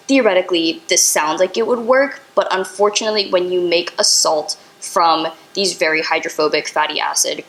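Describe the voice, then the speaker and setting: A woman's voice: lowish voice, vocal fry, fairly bright tone, rough recording. Chatty young woman explaining science. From Indian heritage, Bengali. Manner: chatty, many fillers, explains step by step. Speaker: podcast co-host. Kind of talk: casual educational podcast chat.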